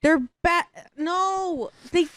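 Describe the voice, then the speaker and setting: A woman chatting casually as a podcast co-host, expressive, slangy and playfully dramatic. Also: very high voice, very slow, dry recording, clean studio sound. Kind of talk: casual chat.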